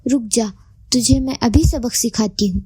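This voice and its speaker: A woman's voice: on the high side, moderate pace, dark tone, husky, dry recording. A woman voicing a stern, scolding eldest fairy in a Hindi cartoon story. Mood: stern, angry.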